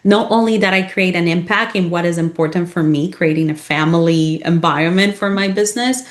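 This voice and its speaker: A woman's voice: lowish voice, brisk. Enthusiastic woman host. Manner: enthusiastic, sums up the guest's answers. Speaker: podcast host, interviewer. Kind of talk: interview questions.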